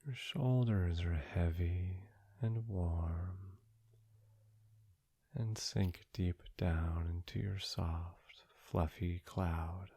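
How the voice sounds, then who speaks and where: A man guides a sleep meditation extremely slowly, leaving long pauses between short, soothing phrases. His voice is low and husky, gentle and hushed, often dropping toward a whisper. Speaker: meditation guide, podcast host.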